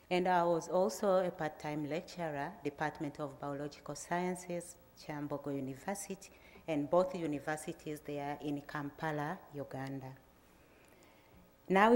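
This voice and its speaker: A middle-aged Tanzanian woman with a Tanzanian accent, giving a measured, plain presentation to an audience, with frequent pauses. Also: low voice, darkish tone. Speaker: agricultural researcher giving a talk. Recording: reverberant lecture hall.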